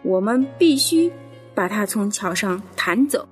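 Grumpy wild boar, female storyteller acting it: slow, grumbling, stubbornly emphatic. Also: medium pitch, lively intonation, faint music behind, pitched below the performer's own voice, natural voice. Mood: grumpy, determined.

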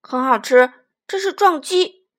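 A woman voicing a big, gruff but soft-hearted male tyrannosaurus in a storybook, stammering and blustering as a reluctant father. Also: sits high, takes it slowly, pitched above the performer's own voice. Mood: firm.

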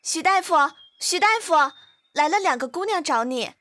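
A woman plays a sweet young girl in a period audio drama. Her voice is very high and closely, dryly recorded. She sounds innocent and easily excited. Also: normal speed, dry recording. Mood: eager. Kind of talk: Chinese costume audio drama acting.

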